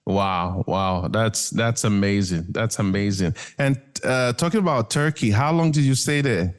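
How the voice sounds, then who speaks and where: A man co-hosting a podcast, talking slowly and casually, often repeating and affirming. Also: lowish voice. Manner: slow, affirming, repeats his words. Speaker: podcast co-host. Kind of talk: casual chat.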